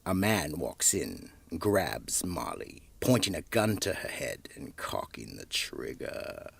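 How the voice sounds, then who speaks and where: An extremely deep-voiced man narrates a radio mystery with theatrical drama. His delivery is slow and husky, sinking into a hush as phrases end. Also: very animated.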